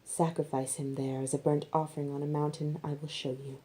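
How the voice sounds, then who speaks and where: A solemn, commanding God of scripture , voiced by a woman storyteller. The delivery is measured and authoritative, with a dark, mellow tone. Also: sits low, flat delivery, lightly acted, low-quality recording.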